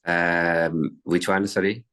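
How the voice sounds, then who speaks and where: A man's voice: sits low, little pitch movement, takes it slowly, a bit bright. A middle-aged man from Italy with an Italian accent, a racehorse trainer answering interview questions with many fillers and restarts.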